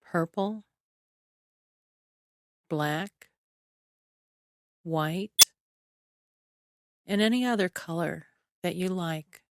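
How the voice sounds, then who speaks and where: A woman narrating a scripted guided relaxation in a soothing, measured way, with short phrases and long pauses. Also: lowish voice, a bit bright, no echo. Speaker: guided imagery meditation narrator.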